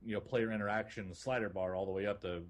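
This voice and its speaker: A man's voice: lowish voice, fairly even intonation, quick pace, a crowd in the background. A man co-hosting a gaming podcast, talking in an informal, conversational way. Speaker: podcast co-host. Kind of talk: casual gaming podcast chat.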